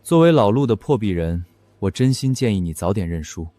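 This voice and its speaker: A man playing a blunt, teasing young best friend in an animated romance drama, full of pointed questions. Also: lowish voice, fairly quick. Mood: teasing, advising.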